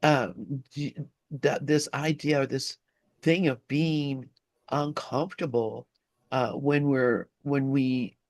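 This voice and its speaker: A man of middle pitch talks in a casual, reflective group discussion. He is halting and often repeats words as he searches for the next one, and the sound is dry with little room echo. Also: fairly even intonation, darkish tone.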